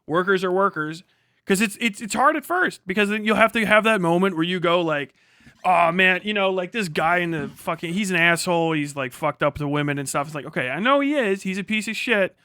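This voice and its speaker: Bright, clear, higher-pitched man chatting bluntly. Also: lively intonation, brisk, no room sound, clean studio sound. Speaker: streamer. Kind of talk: casual political chat with heavy swearing.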